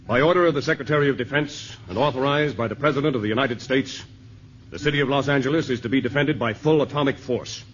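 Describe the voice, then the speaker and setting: An actor playing a stern army general briefing officials in a 1950s radio drama, grave and commanding. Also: male voice, mid-range voice, close to an everyday voice, poor audio. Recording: old radio broadcast with faint hiss.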